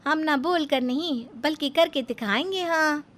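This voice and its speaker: A woman's voice: high-pitched, a little slow, fairly bright tone, soft background music. A woman voicing a plain-spoken, stubborn young village wife in a cartoon story, with rustic emphatic fillers. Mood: determined.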